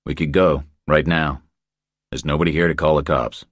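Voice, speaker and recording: A man voicing a laconic, calm, tough drifter hero in a thriller audiobook, terse and matter-of-fact. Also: rumbling low, normal speed, dry recording, studio quality. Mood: urgent.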